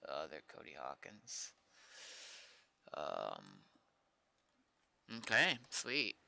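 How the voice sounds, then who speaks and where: Man, low bright voice, casual coding stream, muttered self-talk, occasional swearing. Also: huge pitch swings, very slow, gravelly. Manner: muttering to himself, profane. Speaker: streamer doing game server development. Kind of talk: casual thinking aloud while coding.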